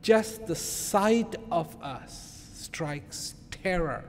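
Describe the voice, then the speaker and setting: A man acts out a lazy father lion from a fable, proud and boastful about ruling the jungle. The words come very slowly and grandly, with a gravelly creak, ringing through a big hall. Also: fairly high pitch, expressive, darkish tone, heavily acted. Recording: echoing large hall with noise.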